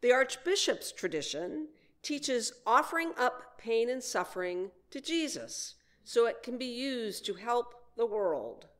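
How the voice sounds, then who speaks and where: A woman minister delivering a prepared sermon in measured, deliberate phrases with frequent pauses. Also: on the high side, sing-song.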